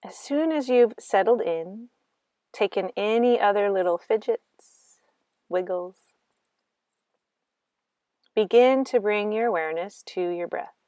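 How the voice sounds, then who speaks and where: A woman guiding a meditation in slow, gentle instructions with long pauses between phrases. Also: medium pitch, no echo, clean studio sound. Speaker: meditation guide. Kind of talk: guided meditation narration.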